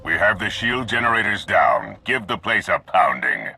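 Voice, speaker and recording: An actor playing an embattled game soldier, reporting from the front with urgent strain. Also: male voice, sits low, very animated, moderate pace, gravelly, pushed and bright, faint music behind, full character voice. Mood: urgent, grim.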